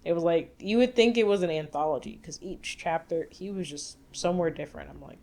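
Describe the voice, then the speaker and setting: A woman's voice: lowish voice, a little fast, creaky, darkish tone. A woman co-hosting a casual gaming podcast, explaining at length with plenty of fillers. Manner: chatty, filler-heavy, explaining. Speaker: podcast co-host. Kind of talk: casual chat.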